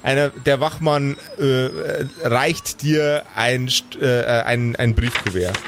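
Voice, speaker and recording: A man's voice: medium pitch, fairly even intonation, takes it slowly, background noise. A man narrating an improvised tabletop role-play as game master.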